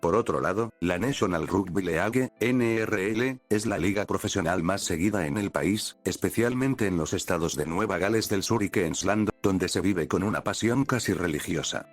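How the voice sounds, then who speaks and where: A man reading scripted documentary-style narration for an explainer video in a measured, formal, expository manner. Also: low-pitched. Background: background music.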